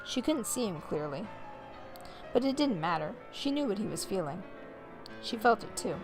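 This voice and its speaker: A woman hosting a let's play, reading visual novel text aloud in a measured way with casual asides. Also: mid-range voice, big pitch swings, music in the background. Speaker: host of a gaming video series.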